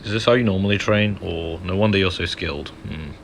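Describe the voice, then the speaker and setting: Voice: low-pitched, fairly quick, vocal fry, crowd noise. A person giving casual, muttered playthrough commentary as host of a gaming video.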